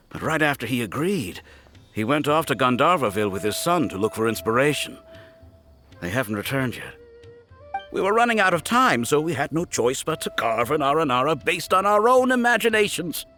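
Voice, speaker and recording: Bright-voiced, earnest craftsman. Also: mid-range voice, very animated, moderate pace, faint music behind. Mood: regretful. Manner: earnest, explanatory. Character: worried craftsman father, polite, earnest. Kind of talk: anime video game voice acting.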